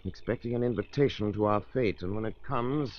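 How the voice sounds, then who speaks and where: An actor playing a composed, resolute gentleman adventurer, speaking with formal authority in 1930s radio style. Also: lowish voice, a little fast, lightly acted. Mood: urgent, watchful. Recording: noisy muffled 1930s radio broadcast.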